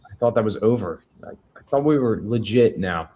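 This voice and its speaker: A man's voice: on the low side, animated, normal speed, dark tone. A man reading the part of an anxious, unwell newsman from a crime family at a film script table read.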